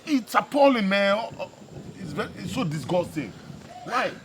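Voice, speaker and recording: A man's voice: sits high, sing-song, normal speed, a bit bright. A man with a Nigerian accent playing a hot-tempered, emphatic husband in a screen drama, mixing English with a local language. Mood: disgusted, venting. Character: hot-tempered husband venting to his friend about women. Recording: location sound with faint background noise.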